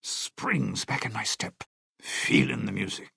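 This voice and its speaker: An actor playing a sly, world-weary lounge singer in a video game, talking in wry showman's patter and dated slang with a gravelly, husky voice. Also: fairly low pitch, takes it slowly, bright, forceful tone, exaggerated voice. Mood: irritated, sardonic. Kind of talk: video game companion voice lines.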